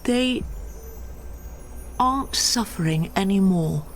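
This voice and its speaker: An actress playing a calm, resolute female hero in a fantasy video game, solemn and measured. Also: mid-range voice, slight background noise. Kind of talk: video game character acting.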